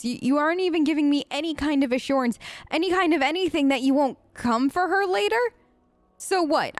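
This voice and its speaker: A woman gamer hosting a role-play let's play, conversational, expressive and emphatic. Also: high-pitched, dry recording. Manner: expressive, emphatic, incredulous asides. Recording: close dry mic, faint game music. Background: faint game music.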